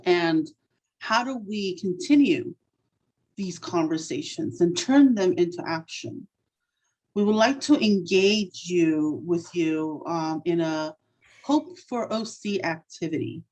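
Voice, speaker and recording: A woman moderates a panel event in a slightly low voice. She speaks slowly, and ums and uhs often fill the gaps between her points. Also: animated, darkish tone, rough recording. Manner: unhurried, with frequent fillers.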